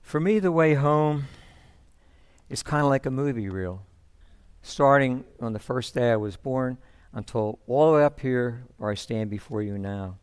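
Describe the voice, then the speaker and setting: A man playing a reflective, sentimental storyteller, telling a first-person monologue in measured phrases. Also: mid-range voice, clear, resonant tone, no echo, close to an everyday voice. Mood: contemplative, content. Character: reflective, sentimental male storyteller recalling his upbringing.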